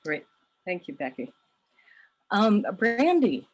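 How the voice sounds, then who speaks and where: A woman moderating a panel discussion, speaking in a thoughtful, halting way. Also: mid-range voice, very animated. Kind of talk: panel discussion on a video call.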